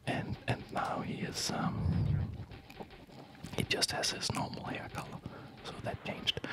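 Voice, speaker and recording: A man speaking in a breathy ASMR whisper, explaining things casually in a halting, digressive way. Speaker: ASMR video host.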